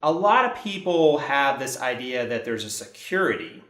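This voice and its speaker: A male interview host with a mid-pitched voice asks long, wandering questions and often restarts them. He is recorded in a room with an audible echo. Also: sing-song, moderate pace, fairly bright tone.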